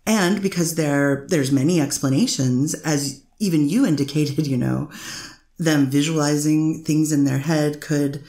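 A woman reads a methodical defense attorney working through her questions. Her voice sits low with a gravelly edge. The room rings with echo. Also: unhurried, dark tone. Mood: measured. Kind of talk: readers theater courtroom reading.

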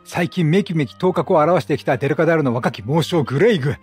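A man reads out a wistful, admiring game soldier. The voice is husky and fairly high, delivered in solemn declamatory phrases. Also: quick pace, soft background music. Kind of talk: reading game dialogue aloud in character.

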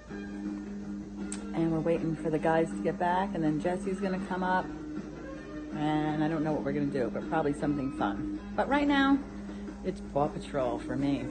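Slow-talking grandmother vlogging at home. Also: on the low side. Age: 50s. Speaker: vlog host. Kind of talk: casual vlog chat. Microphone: phone selfie camera.

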